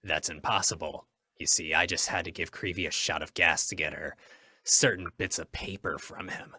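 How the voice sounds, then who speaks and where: A man's voice: low-pitched, steady tone, normal speed, bright, forceful tone, full character voice. A man acting a stern, commanding masked vigilante in an old-time radio drama, speaking in firm, menacing declarations. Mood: cool menace. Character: masked male crime-fighting vigilante, stern, commanding, resolute.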